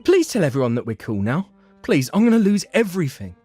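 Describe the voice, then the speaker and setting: A man plays an arrogant young brother in a comic dub. The voice is pushed up in pitch and sounds whiny and indignant, always defending himself or pleading. Music plays behind it. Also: big pitch swings, quick pace, darkish tone, husky. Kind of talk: manga dub character acting.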